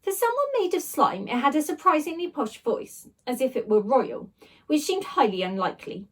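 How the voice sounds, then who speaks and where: Female children's story narrator, teacherly: animated, dramatic, slightly high, a bit off-mic. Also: normal speed. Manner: dramatic, expressive, emphatic sound words. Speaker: teacher reading aloud. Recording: voice a little distant from the microphone.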